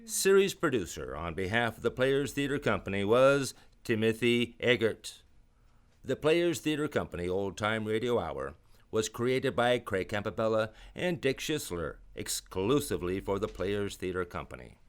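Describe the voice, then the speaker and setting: A man's voice: fairly low pitch, big pitch swings, normal speed. A man announcing for an old-time radio show in a scripted presentational style.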